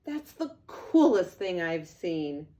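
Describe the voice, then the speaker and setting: Slow, emphatic storybook mother, read by a woman. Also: on the low side, sing-song, mellow, voice pushed down. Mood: amazed. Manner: emphatic, exclaiming. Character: young boy's mother, gushing then scolding.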